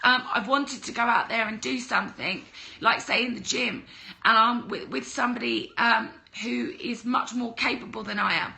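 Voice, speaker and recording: A young woman with a cold and a croaky voice, chatting on a livestream and giving blunt mindset advice. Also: a little high, steady tone, normal speed, pushed and bright, low-quality recording. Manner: chatty, greets viewers, blunt tough-love advice. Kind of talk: casual livestream talk to viewers.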